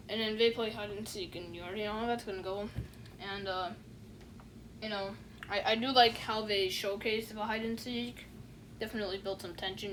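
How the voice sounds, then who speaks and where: A woman's voice: medium pitch, a bit bright, a little hiss or hum, low-quality recording. A woman giving a casual spoken review, rambling with many fillers and a dismissive, unimpressed manner. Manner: rambling, heavy fillers, repeats "you know what I'm saying". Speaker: online reviewer.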